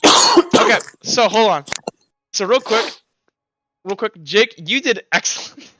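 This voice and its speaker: A man's voice: high voice, lively intonation, pushed and bright, dry recording, low-quality recording. A young man running a tabletop game as game master, chatty and stumbling, with lots of fillers. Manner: chatty, stumbling, quick asides with frequent fillers. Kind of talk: casual tabletop game chat.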